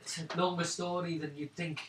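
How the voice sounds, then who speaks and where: A man plays a wounded young clanswoman in a tabletop fantasy game, shaken and weary. The delivery is halting and pleading, with a bright, forward edge. It is caught from off the mic in a roomy, hissy space. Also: fairly high pitch, level tone, far from the mic, lightly acted. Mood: weary, bitter. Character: wounded, shaken young woman of a wandering clan.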